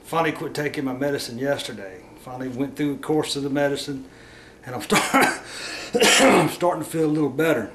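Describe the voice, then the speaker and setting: A man whose voice is rough and hoarse from a week of bronchitis talks through a camp-cooking test at home. He rambles and corrects himself as he goes, in a casual, chatty vlog manner. There is clear hiss under his voice throughout the home recording. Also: on the high side, lively intonation, a little fast. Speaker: camping vlogger. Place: at home, indoors.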